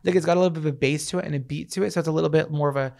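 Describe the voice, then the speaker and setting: A man in his thirties with a raspy voice, co-hosting a podcast in a small home studio and chatting eagerly about music into a handheld mic. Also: a little high, fairly even intonation, very fast, a little mellow. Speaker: podcast co-host. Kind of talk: casual chat. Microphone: handheld microphone.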